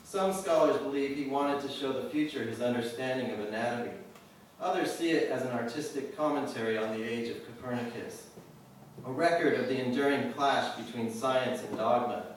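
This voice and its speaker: Guy reading his poems live, kinda measured but casual, super echoey and off the mic. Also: mid-range voice. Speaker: poet reading own work. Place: live reading venue, indoors. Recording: roomy echo, distant mic, faint noise.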